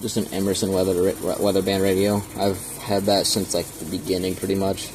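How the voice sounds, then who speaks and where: A man's voice: low voice, monotone, a little fast, background noise. A young man casually showing his radio collection, rambling offhand with fillers and self-corrections, filming as he goes. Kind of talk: casual off-the-cuff show-and-tell. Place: small cluttered room full of shelves. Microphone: handheld camera, filming point of view.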